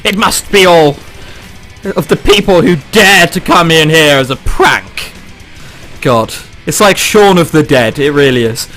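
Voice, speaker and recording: A man's voice: on the high side, measured pace, pushed and bright. A man reading a visual novel aloud for a let's play, breaking off into incredulous, sweary commentary. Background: game background music.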